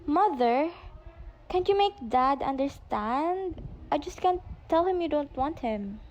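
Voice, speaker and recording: A woman's voice: high voice, expressive, slow, a little mellow, dull audio. A woman in an amateur radio play acting a pleading, dramatic teenage daughter who is boy-crazy. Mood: distressed, sulky. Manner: pleading, melodramatic.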